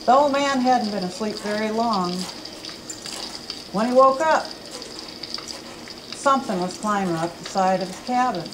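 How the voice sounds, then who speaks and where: A woman at a library event reading a children's story aloud with dramatic, expressive delivery. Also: medium pitch, a little slow, pauses often, background noise. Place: campus event room.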